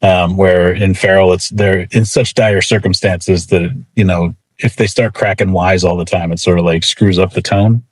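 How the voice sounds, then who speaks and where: A man's voice: low-pitched, steady tone, moderate pace, hardly any pauses. A man talking casually as an interviewed writer, rambling with lots of filler words and a wry, self-deprecating manner. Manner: rambling, frequent "like" and "you know". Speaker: comic book writer being interviewed.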